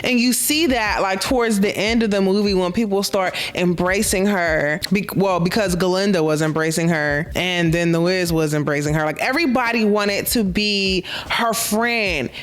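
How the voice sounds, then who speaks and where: Expressive woman reviewing a film. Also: normal speed, few pauses. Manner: expressive, conversational, acts out quotes with big pitch jumps. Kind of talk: casual reflective monologue. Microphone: handheld mic.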